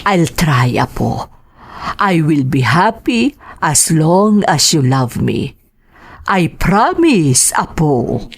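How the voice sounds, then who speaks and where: A woman's voice: low voice, very animated, very slow, slightly rough, full character voice. A woman with an Ilocano accent playing a tender, sorrowful old mother and grandmother in a radio drama. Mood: tender, reassuring.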